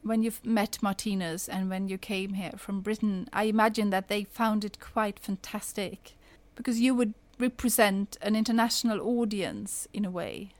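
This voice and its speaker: A woman podcast host talks conversationally to her guest. Her voice sits at a middling pitch and is recorded dry, with very little room sound. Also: normal speed, hardly any pauses, no echo. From Sweden. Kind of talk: conversational interviewing.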